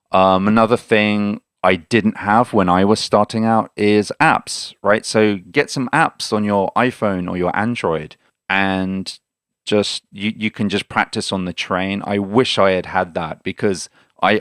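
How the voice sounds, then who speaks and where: A man's voice: sits low, a bit bright, no echo, studio quality. A man co-hosting a language-learning podcast, explaining study tips in a casual, step-by-step way. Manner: explains tips in plain, friendly steps. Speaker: podcast co-host, Japanese learner. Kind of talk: casual teaching chat.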